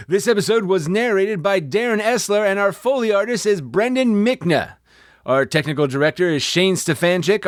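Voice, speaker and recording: A man's voice: high voice, no room sound, clean studio sound. A man announcing the credits of a radio comedy show in a scripted read with playful asides. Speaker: announcer reading the show's credits.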